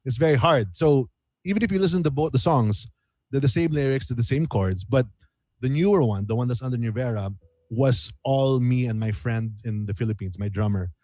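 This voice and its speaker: Guy singer-songwriter chatting in an interview, rambly and animated, normal pitch, really dry sound. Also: brisk, no room sound. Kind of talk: casual radio interview chat.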